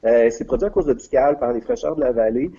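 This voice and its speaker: A man's voice: medium pitch, quick pace, mellow, husky, lo-fi sound. A middle-aged man with a Quebec French accent, a guest in a casual interview, talking hesitantly with many fillers and restarts. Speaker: guest, regional business development adviser.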